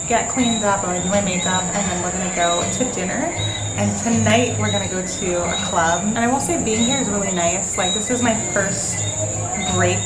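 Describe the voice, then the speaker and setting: A young woman vlogging on her phone outdoors at a beach resort, her voice raspy from being sick, talking to viewers in a chatty way with lots of "like". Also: medium pitch. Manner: chatty, lots of "like", talks to viewers as "you guys". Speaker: vlogger, nursing student. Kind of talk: casual vlog narration. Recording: roomy outdoor sound with loud insect noise. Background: crickets, insects chirping.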